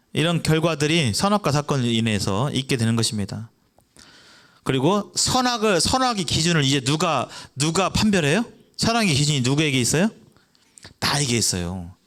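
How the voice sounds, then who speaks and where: Korean male preacher quizzing the congregation. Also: fairly bright tone. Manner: asks the audience questions and answers them himself; acts out children's voices in a brief high voice. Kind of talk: evangelistic sermon.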